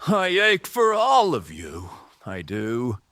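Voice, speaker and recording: A man voicing a menacing, mocking rebel mage leader in an audio drama, with an oratorical, drawn-out, taunting delivery. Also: mid-range voice, wildly swooping pitch, fairly bright tone, no echo, exaggerated voice. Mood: mock sympathy.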